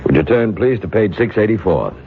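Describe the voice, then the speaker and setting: A stern committee chairman in a radio play, formal and pressing. His low voice rises and falls strongly, through a thin, noisy vintage recording. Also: expressive, fairly quick, narrow, tinny audio. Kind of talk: radio drama acting.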